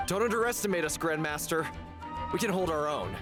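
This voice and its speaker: An actor playing a plucky, loyal knight in a video game, stalwart and a little dramatic. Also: male voice, fairly high pitch, brisk, lightly acted. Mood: confident. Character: plucky, weary knight, loyal and wine-loving. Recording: loud music under the voice.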